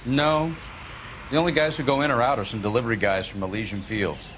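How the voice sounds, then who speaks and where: A man's voice: mid-range voice, fairly even intonation, a little fast, a bit bright, slight background noise, close to an everyday voice. An actor playing a cagey male watchman in a 1940s detective game, with an American accent and a laconic, slangy way of talking. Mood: cagey, indifferent. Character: cagey, grubby-dealing male watchman of a shuttered film studio. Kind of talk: video game character acting.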